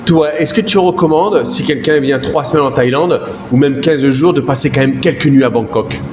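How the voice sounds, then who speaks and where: A man's voice: medium pitch, moderate pace. A man hosting a casual vlog interview, upbeat and chatty, talking straight to his viewers. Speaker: YouTube host and interviewer. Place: outdoors at a Bangkok night market. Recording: loud street and traffic noise.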